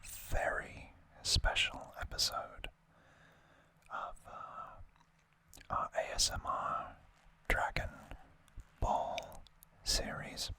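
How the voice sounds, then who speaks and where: A man whispering in a hushed, deliberate manner, as an ASMR host chatting through an unboxing.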